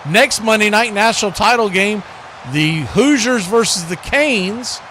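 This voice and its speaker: A man with a fairly high voice does play-by-play for a live football watch party. He talks in a folksy, conversational way, with clear background noise behind him. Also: measured pace, sharp tone. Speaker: play-by-play host.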